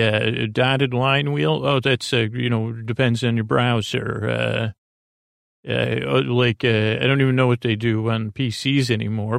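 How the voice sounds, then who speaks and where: A man with a creaky voice hosting a sleep podcast, meandering haltingly through a bedtime monologue and trailing off mid-thought. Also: medium pitch, no echo, studio quality. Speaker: podcast host. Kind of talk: rambling bedtime monologue to help listeners sleep.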